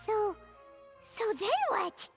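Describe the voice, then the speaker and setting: A woman voicing a small, excitable fairy-like girl companion in an anime game. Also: extremely high pitch, slow pace, gravelly, heavily acted. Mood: curious. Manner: refers to self in third person, exclaiming. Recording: playful music behind.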